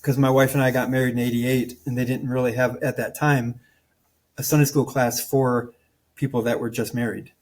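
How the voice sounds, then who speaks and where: A man's voice: medium pitch, level tone, normal speed, a little mellow, low-quality recording. An older man from Michigan who spent part of his youth in Florida talks as a guest on an interview show. He tells stories in a chatty, easygoing way. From Michigan, raised partly in Florida. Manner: chatty, laughs while telling stories. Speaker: guest, band guitarist. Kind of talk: casual storytelling.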